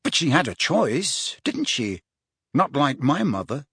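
A man's voice: fairly high pitch, sing-song, a little slow, vocal fry, a bit bright, slightly rough, no room sound, clean studio sound, voice pushed up, full character voice. A man voicing an earnest, impatient teenage boy wizard in an audiobook, blunt and questioning. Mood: insistent. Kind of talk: audiobook character voice.

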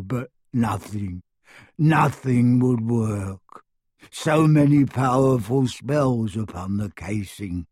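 A man voicing a frightened old male elf servant in an audiobook, abject and devoted, speaking haltingly through sobs. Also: a little high, a little mellow, no room sound, studio quality, pitched above the performer's own voice. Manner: sobbing, halting, stammering, speaks of himself in third person. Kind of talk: audiobook character acting.

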